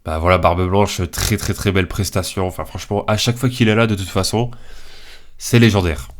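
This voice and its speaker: A man's voice: low-pitched, steady tone, fairly quick. A young man with a head cold giving casual, slangy tier list commentary in a hesitant, informal way. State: congested from a cold. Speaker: video creator.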